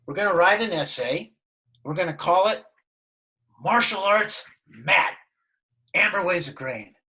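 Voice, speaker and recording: Amiable, clueless dad, husky everyday voice, sitcom read over video call. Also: male voice, high voice, lively intonation, measured pace, pushed and bright, heavily acted. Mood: affable, puzzled. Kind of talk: comedy script table read. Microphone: computer on video call.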